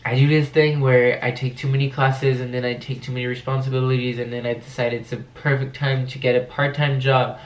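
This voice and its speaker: A man's voice: mid-range voice, little pitch movement, brisk, hardly any pauses, echoey room. A young man with a French accent chatting casually to camera as a video blogger, his voice affected by allergies. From France. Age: young adult.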